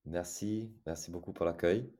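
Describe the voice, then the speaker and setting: A man from Italy speaks French with an Italian accent, his voice very deep and dark in tone. He talks as a podcast guest, pausing often on fillers and restarting his sentences. He sounds like a man in his thirties. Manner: hesitant, many fillers and restarts. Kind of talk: casual interview talk.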